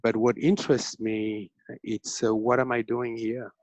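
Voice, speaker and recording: A man's voice: mid-range voice, level tone, unhurried, a little mellow, no echo. A man from Senegal, a self-taught painter, talking hesitantly about his work over a video call. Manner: hesitant, searching, many fillers. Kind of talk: casual artist talk on video call. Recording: dull, dry video call audio.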